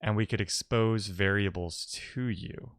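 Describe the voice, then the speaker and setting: A man's voice: on the low side, measured pace, dry recording, studio quality. A man talking casually through technical ideas as a live-coding streamer, musing aloud and posing questions to himself.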